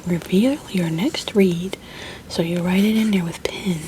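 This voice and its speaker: A woman whispering softly and very quietly as she chats through an ASMR video at home. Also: on the low side, noisy background. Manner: slow, unhurried chatty whispering. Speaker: ASMR creator. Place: home room. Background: crinkling of paper and book stickers.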